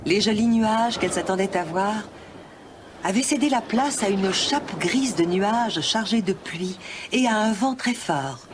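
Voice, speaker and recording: A woman storyteller giving scripted fairy-tale narration for a children's animated film. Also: mid-range voice, a little slow, very noisy.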